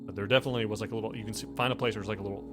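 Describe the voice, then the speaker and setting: A man's voice: lowish voice, steady tone, very fast. A man narrating a tabletop game as game master, improvising and searching aloud for words. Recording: music behind the voice. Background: background music.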